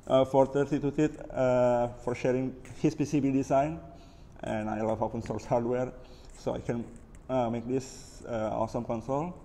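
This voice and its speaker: A man's voice: medium pitch, little pitch movement, normal speed, warm tone. A man with an Indonesian accent giving a casual, unscripted hands-on overview as a hobbyist maker. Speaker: maker presenting his DIY electronics build. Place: indoors at a wooden table, hands-only camera view. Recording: reverberant room with background noise.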